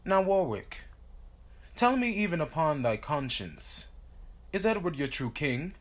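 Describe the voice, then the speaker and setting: An actor playing a stately king in a Shakespearean drama, courteous but quick to take offence, in formal verse. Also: a little high, sing-song. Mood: judicious. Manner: regal, formal, declamatory. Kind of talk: Shakespearean stage-style audio drama acting.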